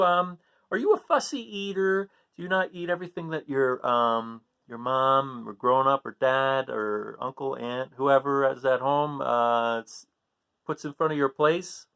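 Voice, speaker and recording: A man's voice: mid-range voice, fairly bright tone. A man reading a picture book aloud for children, chatting to the young listener in casual asides.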